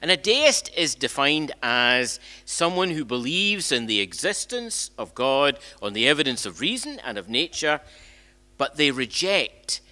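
An older man delivers a conversational sermon from a church lectern. His voice is bright and forceful, with lively swings in pitch. He leans on rhetorical questions and often repeats or restarts a phrase as he goes. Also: a little slow. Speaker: minister preaching. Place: church sanctuary, indoors. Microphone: gooseneck lectern microphone.

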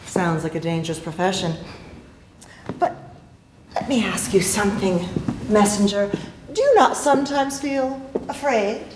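A woman's voice: mid-range voice, animated, measured pace, long pauses, lightly acted. A woman playing a mysterious, flirtatious lady in a period stage play, coaxing and teasing with theatrical delivery. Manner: coy, teasing, dramatic delivery. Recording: echoey stage hall, hissy background noise.

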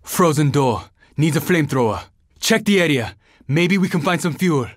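A young survivor in a zombie shooter game. His voice sits high for a male and swings widely in pitch. The delivery is terse, earnest and determined, recorded very dry. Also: animated, normal speed, no room sound. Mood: guarded, low-key. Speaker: video game character. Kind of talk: video game voice lines, dramatic combat barks.

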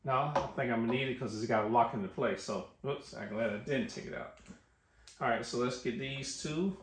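A man's voice: medium pitch, a little fast. A middle-aged American man narrating a how-to in an informal way, thinking aloud as he works. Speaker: DIY tutorial host. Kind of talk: casual how-to narration while working. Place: garage workshop, indoors. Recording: echoey garage with noise and clicks.

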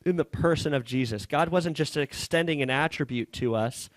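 A man in his thirties preaching a sermon at a small coffee-shop church, earnest and halting, with frequent restarts, speaking into a headset mic. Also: on the high side, no room sound. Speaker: pastor.

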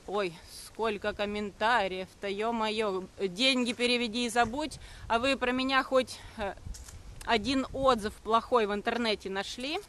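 A woman in her thirties, talking on a live stream while she walks a forest path with her phone held at arm's length. She answers viewers' comments briskly and defensively and hesitates often. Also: a little high, a bit bright. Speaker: network marketing streamer. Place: walking outdoors on a forest path.

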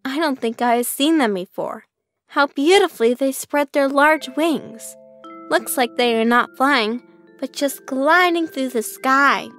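A woman voicing a lonely, gentle young duckling in a children's cartoon, earnest and plaintive. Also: sits high, a little slow. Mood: wondering, pleased. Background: music.

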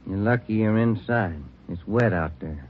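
An actor playing a plain-spoken police detective sidekick in an old radio drama. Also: male voice, lowish voice, normal speed, lightly acted. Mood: casual. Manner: casual, colloquial. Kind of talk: 1950s radio acting. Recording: noisy, muffled old radio recording.

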